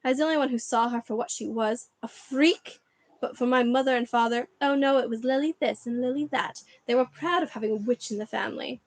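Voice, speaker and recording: A woman's voice: fairly high pitch, brisk, dry recording, close to an everyday voice. Shrieking resentful middle-aged aunt, voiced by a woman narrator. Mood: ranting. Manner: shrieking, ranting.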